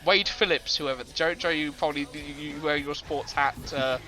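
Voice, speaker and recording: A man's voice: fairly high pitch, fairly even intonation, normal speed, poor audio. A man co-hosting a casual music podcast, rambling with many fillers and restarts and laughing easily. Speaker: podcast co-host. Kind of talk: casual chat about music.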